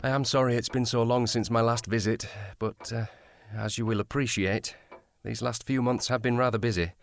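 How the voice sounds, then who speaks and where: A man performs a police sergeant in a period audio drama. His voice sits at a middle pitch. He is formal and reserved, choosing his words with measured courtesy. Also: steady tone, a little hiss or hum, close to an everyday voice. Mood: polite, guarded.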